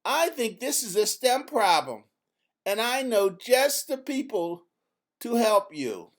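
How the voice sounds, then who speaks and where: Narrator acting confident schoolgirl scientist, high-lifted voice, slow, emphatic, instructive, storybook character. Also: big pitch swings. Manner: emphatic, instructive, clearly enumerating. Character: confident girl scientist, firm but friendly teacher.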